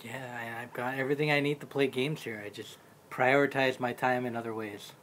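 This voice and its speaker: An easygoing man of forty speaking at an ordinary pitch. He tells small stories and laughs as he goes. Faint room ambience stays under his voice. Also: fairly even intonation, fairly quick, a bit bright, slight background noise. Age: 40s. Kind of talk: casual chat among friends.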